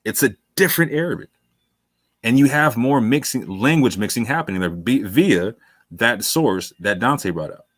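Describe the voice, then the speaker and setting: A man's voice: medium pitch, lively intonation, moderate pace, fairly bright tone, no echo. A male talk show host giving long, emphatic commentary late in a livestream. He repeats phrases for stress, calls listeners fam, and sounds worn from hours on air.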